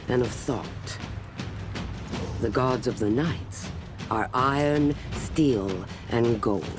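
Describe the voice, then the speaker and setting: A solemn warlord narrating a saga of war in a game. His mid-pitched voice moves slowly and portentously, each phrase set apart, over clear background music. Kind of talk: scripted dramatic game narration.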